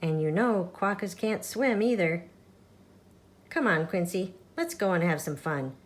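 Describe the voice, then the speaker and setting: A woman's voice: fairly low pitch, very animated, a little slow. A mischievous young boy quokka from a picture book, played by a woman. He talks in a playful, teasing way, like a cheeky pal. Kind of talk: children's storybook character reading.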